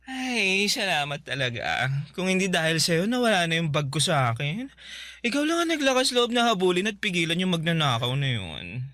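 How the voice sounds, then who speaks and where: An actor playing a friendly, grateful, excitable salon owner in radio drama dialogue, with a chatty, giggly, expressive delivery. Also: male voice, high-pitched, normal speed, no echo, clean studio sound, full character voice. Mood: surprised, warm.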